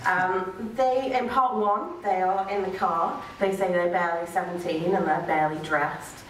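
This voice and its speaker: A woman's voice: fairly low pitch, steady tone, moderate pace, far from the mic. A young woman telling a comic piece live on stage in a wry, sarcastic manner, with sweary asides. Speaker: spoken-word performer. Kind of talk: comic live storytelling and dramatic reading. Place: on stage in a small dark venue. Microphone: microphone on a stand. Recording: echoey venue from afar, faint noise.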